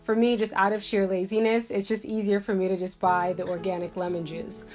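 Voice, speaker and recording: A woman's voice: medium pitch, level tone, fairly quick, over music. Congested woman chatting to camera. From Jamaica. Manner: chatty, rambling, many "like" and "um" fillers. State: congested from a cold. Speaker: beauty vlogger. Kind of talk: casual chat to camera. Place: bedroom at home, indoors.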